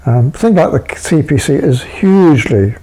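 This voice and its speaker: A man's voice: a little high, expressive, measured pace, mellow, slight background noise. A man being interviewed about his writing, talking casually and rambling with many restarts and fillers. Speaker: interviewed writer.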